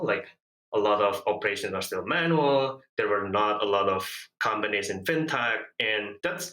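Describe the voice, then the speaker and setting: Earnest man, low and bright. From Indonesia. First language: Hokkien. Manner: earnest, measured, leans on "like". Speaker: guest, engineer. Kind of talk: reflective personal storytelling. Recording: echoey room.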